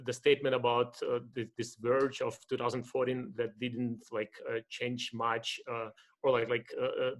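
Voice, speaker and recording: A man's voice: medium pitch, fairly bright tone. A man in his forties speaking as a panelist on a video call from home, rambling with many fillers and restarts. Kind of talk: academic panel discussion. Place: home room with bookshelf, indoors. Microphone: laptop webcam video call.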